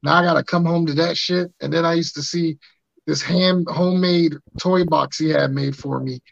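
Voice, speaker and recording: A man in his thirties with a raspy voice, hosting a podcast and telling stories in casual chat, acting out quotes. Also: fairly high pitch, normal speed, dry recording. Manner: animated, acts out quotes in funny voices. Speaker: podcast host. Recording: dry muffled lo-fi sound.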